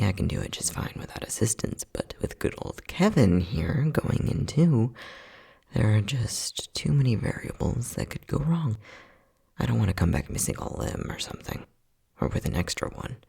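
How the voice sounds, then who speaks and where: Guy doing an unhinged scientist man for asmr rp, super husky near-whisper, swingy pitch, playful and sardonic, kinda cruel. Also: animated, moderate pace, a little mellow, heavily acted. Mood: coaxing. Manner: flippant, sardonic, mock-soothing, muttering. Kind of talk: ASMR roleplay acting.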